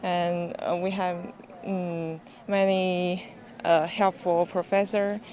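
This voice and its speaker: An eighteen-year-old woman, an exchange student whose first language is Chinese, speaking English in slow, halting, short phrases. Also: lowish voice, level tone, a little mellow, low-quality recording. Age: teens.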